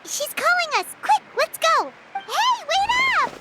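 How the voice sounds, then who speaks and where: Squeaky, boastful little game dragon. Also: female voice, sing-song, slow, bright, forceful tone, heavily acted. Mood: excited. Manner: cocky, exclamatory. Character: tiny boastful excitable little dragon companion. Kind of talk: video game character acting. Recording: music playing behind the voice.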